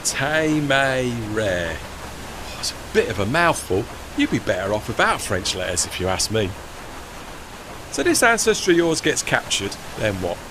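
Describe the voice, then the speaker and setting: Man playing a chirpy, animated working tugboat. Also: mid-range voice, unhurried, background noise. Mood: teasing, curious. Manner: colloquial, matey working-class speech. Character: chirpy, plain-spoken working steam tugboat, deferential but cheeky. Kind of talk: audio play acting.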